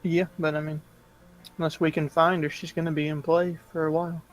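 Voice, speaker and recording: Higher-voiced man in casual game chat. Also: flat delivery, a little fast, soft background music, low-quality recording. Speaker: tabletop game player.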